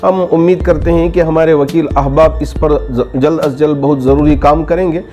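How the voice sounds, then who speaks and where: A man, a cleric answering questions in a formal, measured and earnest way. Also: a little high, fairly even intonation, warm tone, faint music behind.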